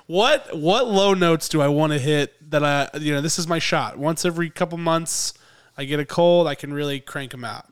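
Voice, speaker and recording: A man co-hosting a podcast, chatting away and laughing often. His voice sits a bit high for a man and comes through smooth and clear, with a slight hoarseness from a cold. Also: moderate pace. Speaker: podcast co-host. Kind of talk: casual podcast chat.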